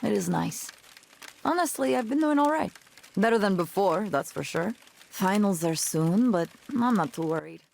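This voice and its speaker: A woman's voice: mid-range voice, lively intonation, moderate pace, vocal fry, a little mellow. A woman playing an easygoing, wry young female student in a visual novel, with a casual, understated, natural delivery. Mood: relaxed. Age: young adult. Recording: music behind the voice. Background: background music.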